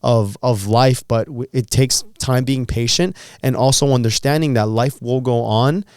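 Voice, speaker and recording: A man in his thirties talks with earnest encouragement as a podcast co-host, often repeating words while he gathers his thoughts. His voice is smooth and resonant at a middling pitch. The sound is dry and close, with no room around it. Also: steady tone, normal speed, a little mellow, no room sound. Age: 30s. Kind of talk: casual motivational chat.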